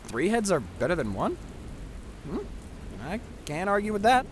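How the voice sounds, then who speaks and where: A woman's voice: lowish voice, very animated, moderate pace, slight background noise. A woman voicing a cheeky, chatty young winged messenger god in a fantasy audio drama, breezy and sarcastic. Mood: playful, curious.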